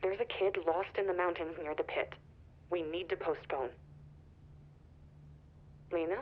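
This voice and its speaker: A woman playing an anxious, conscientious site manager in a video game, husky and urgent over a phone. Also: on the low side, fairly even intonation, a little slow, a little hiss or hum. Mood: worried, pleading. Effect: telephone filter. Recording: distant, muffled phone recording.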